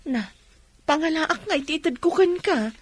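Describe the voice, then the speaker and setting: A woman's voice: high-pitched, expressive, moderate pace, no echo. An actress playing a weary, plain-spoken Ilocano village mother, firm but patient, in a radio drama. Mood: weary refusal.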